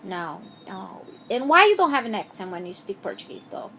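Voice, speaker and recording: A woman interviewing a child, asking gentle, patient questions with frequent hesitations. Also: medium pitch, sing-song, moderate pace, a little hiss or hum, rough recording.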